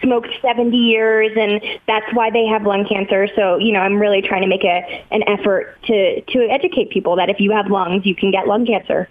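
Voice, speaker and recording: A woman in her twenties with an American accent, telling personal stories in a chatty, animated, lightly self-deprecating way as an interview guest. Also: mid-range voice, a little fast, few pauses. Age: 20s. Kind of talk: casual personal storytelling. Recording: thin phone-like call audio.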